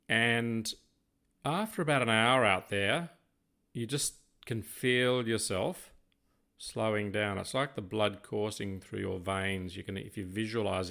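A middle-aged Australian man chatting reflectively as a podcast co-host, telling things in a rambling, unhurried way. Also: fairly low pitch, creaky, sharp tone. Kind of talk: reflective conversational chat.

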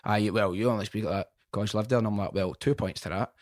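A Scottish man hosting a podcast, telling stories in an animated, sweary way during casual chat. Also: on the low side, fast talker, no echo, clean studio sound. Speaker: podcast host.

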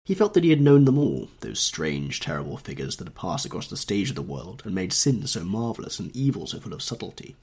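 Husky low-voiced man with a cold. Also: big pitch swings, darkish tone. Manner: measured, dramatic reading of long sentences. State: has a slight cold. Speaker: audiobook narrator, podcast host. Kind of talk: scripted literary narration.

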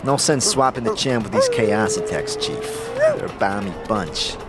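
A man's voice: medium pitch, huge pitch swings, a little slow, over loud music, over a noisy crowd. A man voicing a wisecracking, jittery talking skull with glib streetwise slang in a fantasy audio drama. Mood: wry, explaining.

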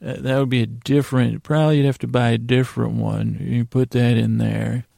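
A man hosting a bedtime podcast with a creaky, gravelly voice, rambling and halting through digressive monologue that often trails off. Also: mid-range voice, level tone, warm tone, no echo, clean studio sound. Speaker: podcast host. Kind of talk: rambling bedtime monologue to lull listeners to sleep.

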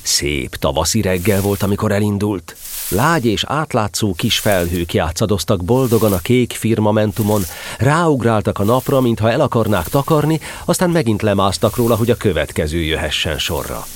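A man narrates a children's tale as an expressive storyteller. His voice is on the low side and moves through a wide, animated melody as he reads the scripted prose. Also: slight background noise.

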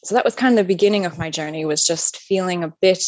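A woman in her thirties from upstate New York with an American accent, telling her personal story as a podcast guest, thoughtful and trailing off softly. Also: on the low side, quick pace, dry recording, poor audio. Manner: thoughtful, many fillers, soft trailing endings.

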